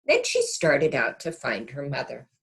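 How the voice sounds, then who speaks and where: A woman reading a picture book aloud to young children like a kindergarten teacher, adding explanatory asides and gentle questions to the listeners. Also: extremely low pitch, huge pitch swings, measured pace, vocal fry, rough recording.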